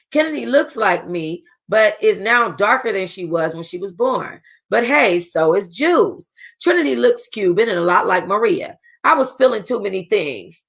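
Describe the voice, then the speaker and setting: A woman reading a first-person novel aloud for a book club, casual and expressive, with a streetwise tone. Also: mid-range voice, vocal fry.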